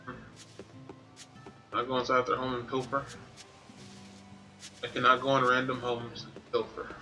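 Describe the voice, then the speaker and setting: A man's voice: medium pitch, completely flat delivery, normal speed, pushed and bright, poor audio. A man doing casual game commentary, musing playfully to himself and reading out what is on screen. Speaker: gaming streamer playing and commenting. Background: game music.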